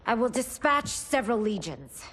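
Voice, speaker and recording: An actress playing a regal, imperious young empress in a video game, formal and exasperated. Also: female voice, on the high side, sing-song, moderate pace, fairly bright tone. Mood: troubled, commanding. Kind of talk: video game cutscene acting.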